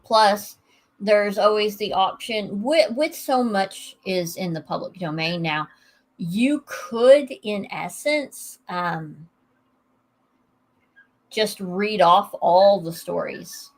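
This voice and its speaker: Slow-talking woman hosting a casual chat. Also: mid-range voice, poor audio. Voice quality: flat tone of voice. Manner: relaxed, unhurried, many fillers and restarts. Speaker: host.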